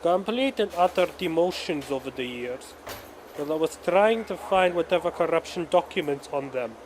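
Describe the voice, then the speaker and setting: A man's voice: on the high side, animated, normal speed, slight background noise, lightly acted. A man playing a gloating, vengeful Russian official in an audio drama, with a theatrical delivery.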